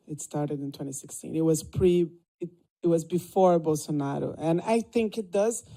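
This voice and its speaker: A young adult woman answering as a panelist at a lecture hall debate, speaking in a measured, thoughtful way into a handheld microphone. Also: fairly low pitch, warm tone. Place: lecture hall stage, seated at a table.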